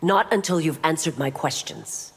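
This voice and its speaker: A woman's voice: sits low, animated, normal speed, heavy background noise. A woman playing a stern, dutiful city constable in a fighting game, authoritative and formal. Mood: stern, accusing. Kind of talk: fighting game dialogue acting. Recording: long reverb, loud music.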